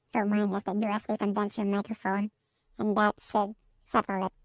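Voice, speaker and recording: Male host voicing a pitched-up, female-sounding voice-changer voice, matter-of-fact software tutorial delivery, dry close sound. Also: monotone, normal speed, dry recording. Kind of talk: casual step-by-step software tutorial. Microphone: USB condenser microphone, close.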